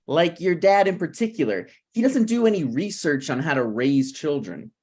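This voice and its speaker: A man's voice: fairly high pitch, animated, normal speed, darkish tone. A man playing an awkward, meddling friend in a sitcom table read, hesitant and apologetic yet blunt. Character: awkward, opinionated, meddling male friend of a married couple. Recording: online video call table read.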